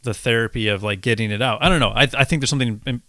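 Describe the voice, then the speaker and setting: A man co-hosting a podcast in casual chat, earnest and halting as he thinks aloud. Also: mid-range voice, bright, forceful tone, dry recording, studio quality. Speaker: podcast co-host.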